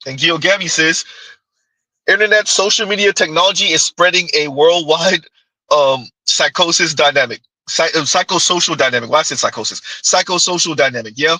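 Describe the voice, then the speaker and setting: A high-pitched man with a very bright, cutting voice, recorded close and dry. He hosts a livestream in a loose, chatty way, reading viewer comments and talking back to them with frequent laughs. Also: brisk, dry recording, rough recording. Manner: laughs often, talks back to chat. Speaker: livestream host. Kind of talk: casual commentary reading chat.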